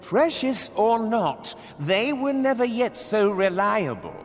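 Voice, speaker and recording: An actor playing a scheming, wealthy merchant lord with a pompous, sarcastic manner. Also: male voice, high voice, big pitch swings, takes it slowly, big-room sound, faint music behind, heavily acted. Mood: disdainful. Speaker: game character.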